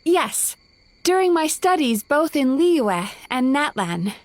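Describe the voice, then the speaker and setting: A high-voiced, thoughtful young healer. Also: female voice, sing-song, takes it slowly, dry recording, full character voice. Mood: thoughtful, earnest. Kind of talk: video game cutscene acting.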